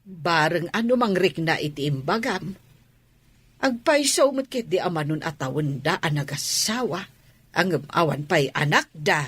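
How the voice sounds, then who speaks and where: Woman acting bossy-concerned big sister in a radio drama, raspy, bit low, questions everyone. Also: animated, unhurried, a bit bright, dry recording, full character voice. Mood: concerned, reproving.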